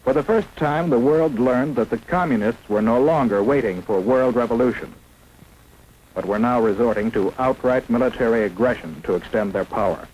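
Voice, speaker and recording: A man's voice: medium pitch, normal speed, a little mellow, husky, highs cut off. An American man reads scripted mid-century propaganda documentary narration in a solemn, emphatic, declamatory manner. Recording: noisy old film soundtrack.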